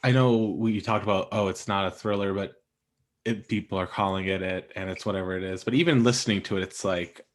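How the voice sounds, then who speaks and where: Low-voiced man moderating a panel. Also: steady tone, brisk. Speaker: host and moderator of author panel. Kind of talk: casual, unscripted event moderating.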